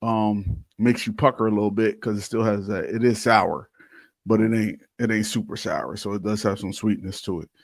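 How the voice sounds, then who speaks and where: A man's voice: on the low side, steady tone, moderate pace, dry recording. A man chatting casually as a podcast co-host, informal and slangy with the odd swear word. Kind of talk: casual banter and beer tasting chat.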